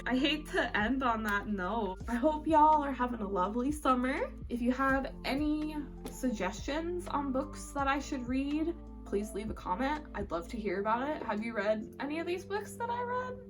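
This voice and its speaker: A woman with a fairly high voice gives a casual, chatty reading recap for a vlog. She is informal and candid, tossing in slang and the odd swear word. Music plays clearly behind her as she talks. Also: lively intonation, moderate pace. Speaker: book review vlogger. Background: background music.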